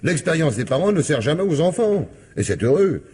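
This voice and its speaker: A man's voice: a little high, sing-song, fairly quick, a little mellow, breathy edge, full character voice. An actor playing a genial, unflappable elderly father in a French radio drama, exclamatory and fatherly, often repeating his words. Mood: reassuring, philosophical. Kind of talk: French radio drama, theatrical comic dialogue.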